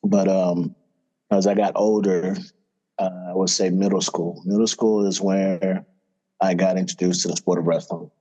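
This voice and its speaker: Florida guy, middle-aged coach, low mellow voice, laid-back storytelling with lots of ums. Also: normal speed, rough recording. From Florida, USA. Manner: relaxed storytelling with fillers. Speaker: head wrestling coach, guest. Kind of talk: casual interview talk.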